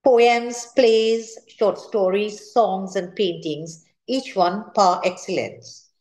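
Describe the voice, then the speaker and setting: A woman's voice: medium pitch, slow pace, darkish tone. An older woman with a Trinidadian accent, reading a prepared literary speech in a formal, careful way. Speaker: author giving a literary talk. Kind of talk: prepared speech read aloud. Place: indoor room at home, seated at a table.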